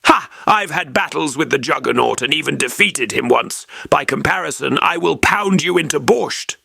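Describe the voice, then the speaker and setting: Man as a gravelly Russian strongman hero. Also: fairly high pitch, expressive, normal speed, sharp tone, no echo, studio quality, exaggerated voice. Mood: boastful challenge. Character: Colossus, earnest proud Russian mutant strongman hero. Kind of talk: scripted in-character narration.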